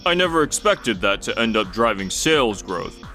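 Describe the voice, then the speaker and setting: A man voicing a measured, businesslike company boss in a dubbed comic drama. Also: lowish voice, big pitch swings, bright, forceful tone, soft background music. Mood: considering. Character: measured, businesslike male company boss, middle-aged or older.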